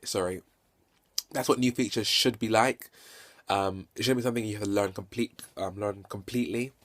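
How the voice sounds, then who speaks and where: Man, lowish voice, slight stutter, halting delivery, casual gaming vlogger, self-correcting, handheld phone recording. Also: fairly even intonation, a bit bright. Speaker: video game reviewer and vlogger. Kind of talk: unscripted casual hands-on review.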